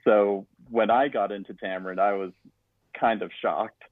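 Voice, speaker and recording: A man's voice: lowish voice, steady tone, normal speed, no echo. Man on a tinny, dry phone-like line. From Utah, US. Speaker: education director guest. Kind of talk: casual interview chat.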